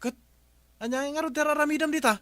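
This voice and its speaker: An actor with an Ilocano accent playing a grumbling, penny-pinching husband in a radio comedy drama. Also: male voice, very high-pitched, fairly even intonation, quick pace, a bit bright, no room sound, clean studio sound. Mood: exasperated.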